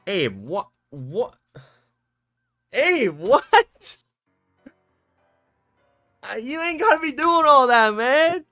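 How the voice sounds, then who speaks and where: A male streamer chatting casually and excitably on a live stream, his voice a bit scratchy from cheering, in a warm home room. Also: very high-pitched, extremely slow, long pauses, soft background music. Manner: excitable, slangy, rambling.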